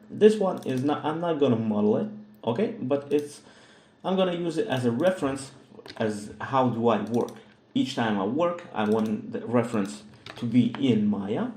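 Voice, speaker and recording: A man with a Russian accent, hosting a software tutorial in a hesitant way, with many fillers and frequent "all right?" checks. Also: fairly low pitch, a little hiss or hum. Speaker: tutorial host. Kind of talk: screen-recorded software tutorial. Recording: echoey room.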